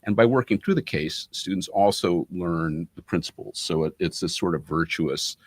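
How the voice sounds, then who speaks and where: A man's voice: deep voice, moderate pace, no echo. A man giving explanatory interview answers in a methodical, professorial way. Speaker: interview guest, business school academic.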